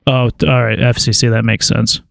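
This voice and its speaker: A man's voice: mid-range voice, flat delivery, brisk, creaky, fairly bright tone, no room sound, clean studio sound. A man making a prank call, talking casually and informally with a hesitant stammer. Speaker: prank caller. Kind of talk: casual phone conversation.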